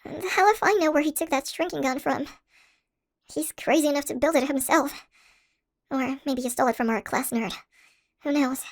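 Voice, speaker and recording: Woman acting a tiny, high-pitched shrunken college girlfriend. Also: normal speed, pauses often. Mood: flustered, dismayed. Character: tiny shrunken young college woman, playful affectionate crush. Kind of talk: scripted ASMR audio roleplay.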